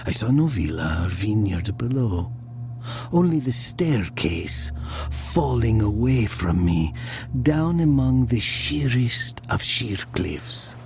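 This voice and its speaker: A man playing a brooding narrator recalling his youth in a dramatic story, slow and deliberate, dropping into hushed breathy tones. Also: fairly low pitch, sing-song, music in the background, full character voice. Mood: brooding, reflective.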